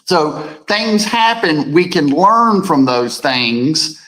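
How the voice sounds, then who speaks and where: Deep-voiced man co-hosting a morning chat. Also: sing-song, takes it slowly, sharp tone, poor audio. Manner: rambling, repeats words, reads viewer comments aloud. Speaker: co-host. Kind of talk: casual morning show chat.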